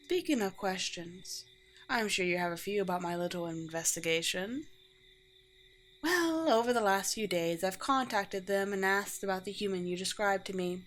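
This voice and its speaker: A woman playing a courteous, teasing, world-weary vampire queen in a roleplay audio drama, with formal, old-fashioned and gently wry phrasing. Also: medium pitch, normal speed, lightly acted. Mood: composed, matter-of-fact. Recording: clear background noise with ambience.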